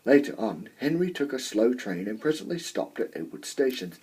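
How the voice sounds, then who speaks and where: Husky man reading a story, very animated. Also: mid-range voice, normal speed, poor audio. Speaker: amateur story reader. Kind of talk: expressive children's story narration.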